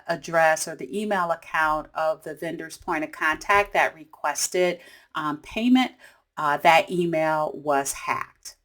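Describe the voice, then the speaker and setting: A woman's voice: sits low, a bit bright. A woman hosting an instructional explainer in a conversational, explanatory manner. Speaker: host of a tips series. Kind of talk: instructional explainer for business teams.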